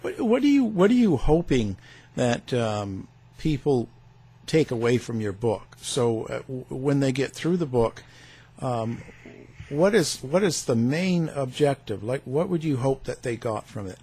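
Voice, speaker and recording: Male interview host, mellow husky voice, halting questions. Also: medium pitch, sing-song. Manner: hesitant, rephrases questions with fillers.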